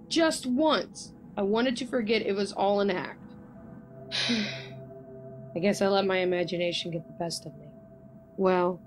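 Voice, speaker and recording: A woman's voice: mid-range voice, natural voice. A woman playing a guarded, lovelorn young bride-to-be in an audio drama.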